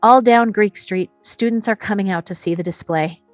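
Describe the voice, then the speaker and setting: A woman's voice: mid-range voice, normal speed, no echo, faint music behind. A woman reading scripted stage directions as the narrator of a screenplay table read.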